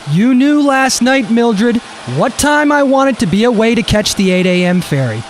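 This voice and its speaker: A man's voice: very high-pitched, expressive, a little slow, slight background noise. A man acting in a radio drama as an impatient, fussy, self-righteous husband with a huffy manner. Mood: exasperated. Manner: huffy, insistent.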